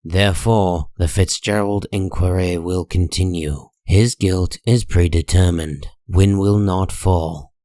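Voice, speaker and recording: A man reading dramatic comic-book narration in a grave, measured and deliberate manner. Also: low-pitched, steady tone, mellow, dry recording.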